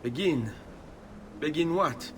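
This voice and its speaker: A man's voice: fairly high pitch, lively intonation, takes it slowly, a bit bright, a little hiss or hum. An actor playing a proud, headstrong young Italian nobleman in a video game, blunt in manner and speaking with an Italian accent. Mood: defiant. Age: young adult. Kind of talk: dramatic video game voice acting.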